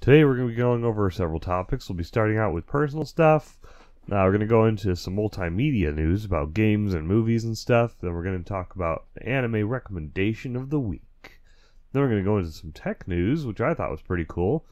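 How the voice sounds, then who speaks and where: A lower-pitched man hosting a laid-back solo podcast. He has a cold, so his throat sounds dry and stuffy. He rambles, corrects himself mid-sentence and chuckles now and then. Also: animated, fairly quick, a little mellow, highs cut off. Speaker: podcast host. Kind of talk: casual solo podcast chat.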